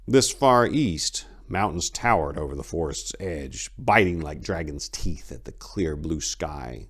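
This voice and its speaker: Deep, expressive male story narrator. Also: unhurried, husky. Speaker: audiobook narrator. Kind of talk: dramatic scripted fantasy narration.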